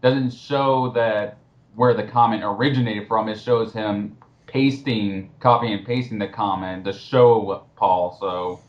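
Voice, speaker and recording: A dull, lo-fi voice chat recording of a man with a fairly low voice. He gives casual, scornful commentary with friends and swears freely. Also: fairly even intonation, measured pace, a little hiss or hum. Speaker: commentary co-host.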